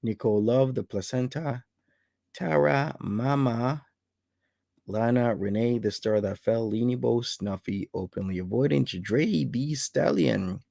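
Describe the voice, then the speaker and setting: A man's voice: mid-range voice, measured pace, gravelly, mellow, dry recording, clean studio sound. A man in his late thirties hosting a casual livestream, reading out viewer names one by one to greet them. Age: 30s. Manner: greets viewers by name in a list. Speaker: livestream host.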